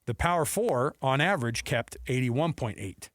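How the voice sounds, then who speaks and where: A man's voice: medium pitch, expressive, moderate pace, no room sound, clean studio sound. A man hosting a sports radio show in casual talk, often acting out imagined quotes.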